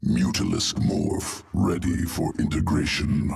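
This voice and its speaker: An actor playing a cold, obsessive alien monster scientist in a video game, guttural and speaking in clipped phrases. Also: male voice, big pitch swings, a little mellow, exaggerated voice. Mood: cold, methodical. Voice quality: gravelly, guttural, very deep. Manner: clipped phrases without articles, slow and detached. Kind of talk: video game character acting.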